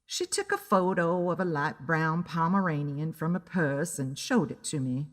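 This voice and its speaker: A woman's voice: sits low, expressive, normal speed. A woman narrating a first-person story in a dramatic audiobook style. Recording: long reverb on the voice.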